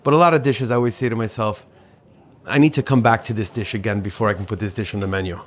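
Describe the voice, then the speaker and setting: A man's voice: on the low side, steady tone. A man in his forties, a restaurant owner, talking reflectively in an interview, often restarting and repeating words. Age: 40s. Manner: halting, repeats words, philosophical. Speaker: restaurateur guest.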